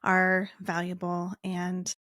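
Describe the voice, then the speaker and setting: Even-toned woman answering interview questions. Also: lowish voice, takes it slowly, no room sound, clean studio sound. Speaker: guest author.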